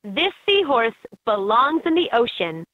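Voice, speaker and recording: A woman's voice: on the high side, sing-song, measured pace, dry recording. A woman reading scripted narration as the guide in a children's learning game, recorded as lo-fi toy audio. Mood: instructive.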